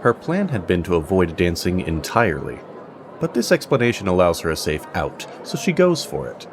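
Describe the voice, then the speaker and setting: Male narrator, low voice, measured, dramatic, fantasy storytelling, clear music bed. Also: sing-song.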